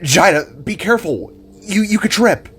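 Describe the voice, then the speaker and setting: Man acting an earnest, animated young husband. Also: sits high, moderate pace, breathy edge, pitched above the performer's own voice, full character voice. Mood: nervous. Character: earnest, easily amazed young husband and father-to-be. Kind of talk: audio drama character acting.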